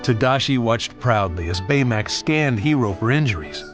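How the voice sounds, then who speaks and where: A man narrates a children's storybook with animated, swooping intonation at a middle pitch. Music plays clearly behind him as he reads the scripted text. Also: moderate pace. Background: background music.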